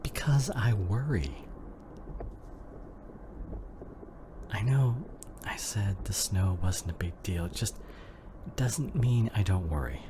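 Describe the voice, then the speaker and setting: A man's voice: fairly low pitch, animated, measured pace, background noise. A man acting a devoted, worried husband in an emotional ASMR drama, speaking intimately and breathily, often half-whispering. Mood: worried, affectionate. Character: devoted, worried husband comforting his injured wife.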